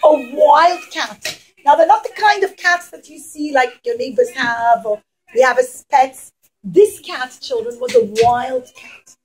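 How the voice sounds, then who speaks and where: High-voiced woman telling stories to children. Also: measured pace, rough recording. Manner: emphatic, repeats phrases, asks the children questions. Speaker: children's storyteller and teacher. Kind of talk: interactive puppet-show storytelling for young children.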